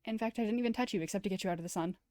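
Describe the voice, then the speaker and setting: A woman's voice: mid-range voice, rapid-fire, warm tone, no echo, studio quality, close to an everyday voice. A woman voicing an earnest, awkward young man in a visual novel, apologetic and rambling. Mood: flustered, pleading.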